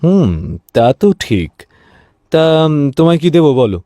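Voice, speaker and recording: A man voicing an animated, high-pitched ageing honest shopkeeper. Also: moderate pace, dry recording, studio quality. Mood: genial. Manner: earnest, indignant when crossed, emphatic repeated questioning. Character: ageing, honest, principled sweet-shop owner and stern father. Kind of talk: cartoon fairy-tale voice acting.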